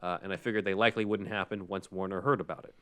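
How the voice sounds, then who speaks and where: Very low-voiced man on a podcast. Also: fairly even intonation, rapid-fire, a bit bright, clear, resonant tone. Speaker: podcast host. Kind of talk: casual podcast discussion, reading out listener comments.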